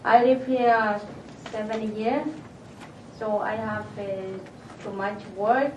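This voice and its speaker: A woman's voice: on the high side, steady tone, slow, a little mellow, distant mic, a little hiss or hum. A woman from Tanzania, speaking simple, earnest English. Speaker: award recipient. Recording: echoey chamber audio from afar.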